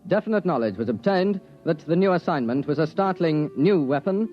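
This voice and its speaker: A man's voice: fairly high pitch, lively intonation, fairly quick, lightly acted. A man narrating a radio drama as a formal, official chronicler of wartime events. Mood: measured, official. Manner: formal, official report delivery. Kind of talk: scripted documentary-style radio drama narration. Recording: dull vintage radio recording, music behind.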